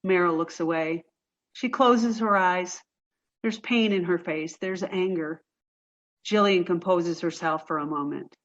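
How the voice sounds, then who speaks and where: Lowish-voiced woman narrating stage directions. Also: unhurried, highs cut off. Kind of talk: scripted narration.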